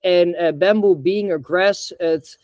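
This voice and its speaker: A man's voice: high voice, unhurried, dry recording, highs cut off. A young man from the Netherlands on a video call, talking hesitantly with lots of fillers and restarts as an interview guest. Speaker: guest, bamboo reforestation founder. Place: indoor room.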